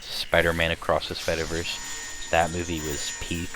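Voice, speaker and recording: A young man with a slightly raspy voice, chatting casually and rambling while commenting on his gameplay. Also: sits low, monotone, unhurried, over music, rough recording. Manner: rambling, chatty, many restarts and fillers. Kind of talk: casual let's-play chat.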